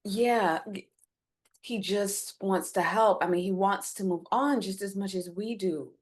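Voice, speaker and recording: A woman's voice: medium pitch, a little slow, close to an everyday voice. A woman playing an earnest, hopeful bereaved mother in a dramatic script reading, pleading and placating yet firm as she argues for forgiveness. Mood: consoling.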